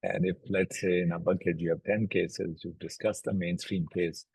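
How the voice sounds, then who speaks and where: Native Urdu speaker, middle-aged man, deep husky voice, level delivery, dry close sound; calm expert explaining step by step. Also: dry recording, rough recording. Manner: measured and explanatory, lists cases step by step. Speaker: oncologist and platform co-founder. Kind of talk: recorded video interview.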